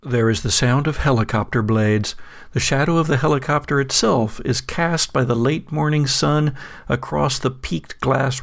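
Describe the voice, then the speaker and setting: Gravelly-voiced man narrating a horror game. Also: mid-range voice. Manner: measured storytelling, hesitant when addressing players. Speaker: game master.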